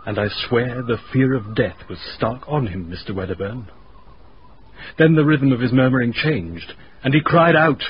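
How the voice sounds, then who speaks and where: An actor playing a genteel, witty gentleman telling his story in a radio drama, in a wry, wordy, polite manner. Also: medium pitch, animated, moderate pace, close to an everyday voice. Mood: wry recounting. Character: genteel, witty gentleman recounting his eerie castle stay. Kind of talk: radio drama narration and acting. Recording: dull radio recording, faint noise.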